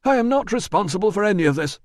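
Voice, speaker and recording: A man putting on a shrill, blustering boss. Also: very animated, no room sound, clean studio sound. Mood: furious. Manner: indignant, rapid, shrill outbursts. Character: blustering panicky male employer, shrill. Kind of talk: audiobook dialogue.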